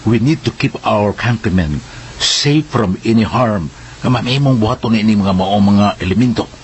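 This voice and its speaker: An actor playing a steady, respectful military officer, formal and reassuring, in a Cebuano radio drama. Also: male voice, lowish voice, moderate pace, a little hiss or hum, natural voice.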